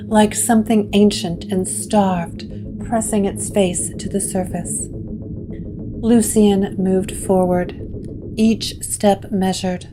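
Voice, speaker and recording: A woman narrating a scripted gothic horror story in a dramatic, deliberate way, with plucked string music behind her. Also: mid-range voice.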